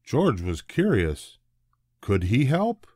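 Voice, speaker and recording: An older man narrating a children's storybook in a deliberate, expressive way. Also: mid-range voice, husky, no room sound, clean studio sound. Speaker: storybook reader.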